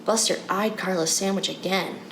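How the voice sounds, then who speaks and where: A woman's voice: medium pitch, fairly even intonation, moderate pace, a bit bright, echoey room. A woman reading a children's storybook aloud as a narrator. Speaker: story reader. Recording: clear background noise.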